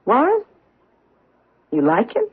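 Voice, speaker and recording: An actress playing a tense, resolute wife in an old-time radio drama, guarded and earnest. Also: female voice, on the high side, wildly swooping pitch, slow pace, slightly rough, exaggerated voice. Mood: uneasy. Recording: tinny, band-limited vintage radio broadcast.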